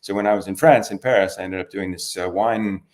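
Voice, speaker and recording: A Canadian man speaks in a fairly low voice. He is affable and conversational, like a guest in an interview. He tells things as relaxed stories, at an easy, sociable register. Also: brisk. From Toronto, Canada. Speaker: interview guest, wine expert.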